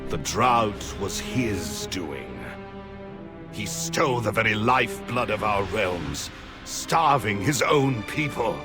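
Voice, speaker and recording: Low-voiced, theatrical gothic prince. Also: male voice, lively intonation, slow, over music. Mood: bitter, accusing. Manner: formal, impassioned, theatrical. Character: earnest, aristocratic rebel prince of a gothic realm. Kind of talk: video game cinematic acting.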